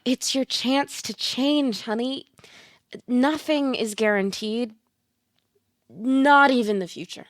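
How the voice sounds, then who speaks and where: An actress plays a theatrical female fortune teller in an audio drama. Her voice sits high and she takes her time, coaxing and blunt by turns, in a dry, close sound. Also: takes it slowly, pauses often, dry recording. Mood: coaxing, hopeful. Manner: theatrical, coaxing, with pet names. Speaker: actor. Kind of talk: audio play acting.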